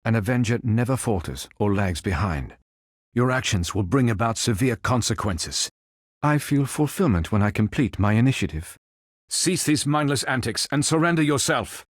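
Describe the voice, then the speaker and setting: A man playing a calm, noble android superhero in a video game, speaking in formal, precise, stilted robotic phrases. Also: mid-range voice, no echo, clean studio sound. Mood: stern, threatening. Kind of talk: video game character voice lines.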